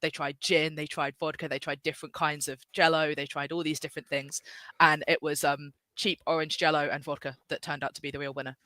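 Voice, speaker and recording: A man co-hosting a casual podcast, telling quick enthusiastic anecdotes. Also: on the high side, level tone, sharp tone, no room sound. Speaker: podcast co-host. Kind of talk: casual chat.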